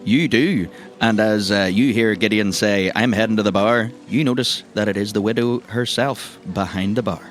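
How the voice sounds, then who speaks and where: A man's voice: fairly low pitch, normal speed, few pauses, a little hiss or hum. A man with a Northern Irish accent narrating scenes informally and descriptively as game master of a tabletop game podcast.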